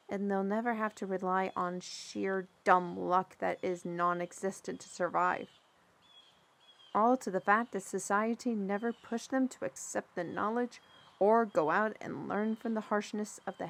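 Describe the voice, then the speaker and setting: A woman's voice: mid-range voice, close to an everyday voice. Preachy, outspoken fantasy woman, voiced by an actress. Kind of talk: audio drama acting.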